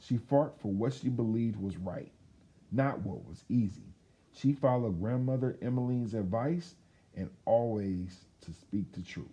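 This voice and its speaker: A man reading a children's picture book aloud in an expressive, emphatic storytelling manner. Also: lowish voice, a little slow, breathy edge. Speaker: children's read-aloud host.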